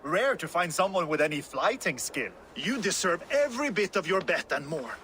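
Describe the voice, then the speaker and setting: A man's voice: high voice, sing-song, normal speed, sharp tone, a little hiss or hum, exaggerated voice. An actor voicing a boisterous male rival in a rhyming duel, full of exuberant, theatrical exclamations, in a video game. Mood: delighted. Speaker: game character.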